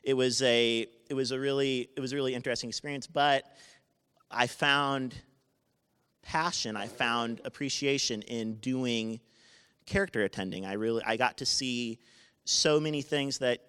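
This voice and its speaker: A man in his twenties with a naturally loud voice, telling a personal story live to an audience, halting and often restarting his sentences. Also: medium pitch, clear, resonant tone. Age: 20s. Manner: halting, with many restarts and self-corrections. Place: university event space. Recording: live talk with long hall reverb.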